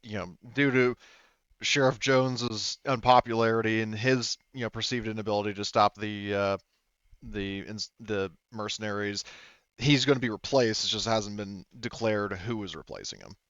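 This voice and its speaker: Smooth, clear low-voiced male game master. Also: fairly even intonation, normal speed, a bit bright, no echo, dull audio. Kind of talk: explaining game background conversationally.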